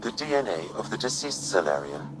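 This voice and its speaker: An actor voicing a calm, dispassionate artificial intelligence in a sci-fi game, with even, exact, clinical delivery. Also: male voice, low-pitched, brisk, background noise. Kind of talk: cinematic sci-fi game acting. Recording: music behind the voice.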